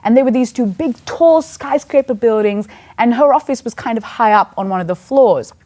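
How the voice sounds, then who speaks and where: A woman's voice: on the high side, lively intonation, normal speed, mellow. A woman in her thirties from Sri Lanka, telling a personal story in an earnest, conversational sermon. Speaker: church speaker.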